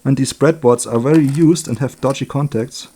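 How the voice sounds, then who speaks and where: A man giving an unscripted hands-on electronics demonstration as a hobbyist, thinking aloud in short, halting remarks. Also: medium pitch, warm tone, slightly rough.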